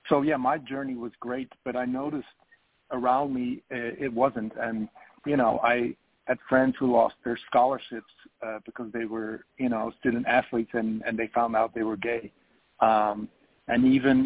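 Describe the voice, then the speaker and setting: A man's voice: medium pitch, breathy edge. Belgian-born man on a thin line. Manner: hesitant, many ums. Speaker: filmmaker guest. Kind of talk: radio interview. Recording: phone-like narrow band.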